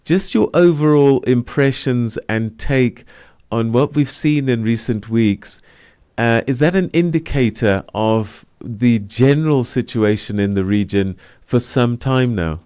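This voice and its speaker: A man hosts a news show, asking his guests measured questions with a few hesitations. His voice is smooth and resonant, recorded dry and close in the studio. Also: medium pitch, a little mellow, no echo. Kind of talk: moderating a news interview.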